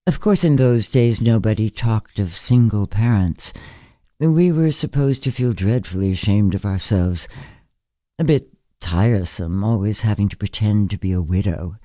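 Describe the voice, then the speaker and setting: A man voicing a very old, bedridden woman in a literary story, frank and musing, with gentle wryness. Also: on the low side, normal speed, no room sound, close to an everyday voice. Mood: wry, reflective. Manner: musing, candid, gently superior. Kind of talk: literary story character reading.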